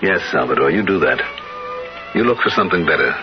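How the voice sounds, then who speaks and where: An actor playing a reserved, condescending older gentleman in a 1970s radio drama, measured and coolly polite. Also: deep voice, lively intonation, vocal fry. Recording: dull vintage radio recording, music behind.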